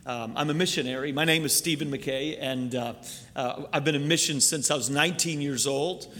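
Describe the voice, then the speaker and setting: A middle-aged man raised in Canada, a missionary preacher opening a sermon in a church, earnest and halting, with many fillers. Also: medium pitch, a bit bright, long reverb tail. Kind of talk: sermon introduction. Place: church sanctuary.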